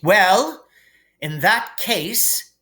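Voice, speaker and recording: A man's voice: sits high, sing-song, drawn-out words, bright, forceful tone, voice pushed up, full character voice. A man voicing a stern, coldly angry older woman professor in a storybook reading, clipped and scolding. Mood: taken aback.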